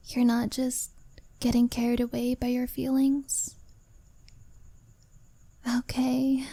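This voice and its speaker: A woman voicing a shy young woman who confesses her feelings to a younger friend, speaking softly and often in a whisper. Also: sits high, level tone, extremely slow, long pauses, no room sound, full character voice. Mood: teasing. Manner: soft, hesitant, often whispered. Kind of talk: ASMR-style roleplay monologue.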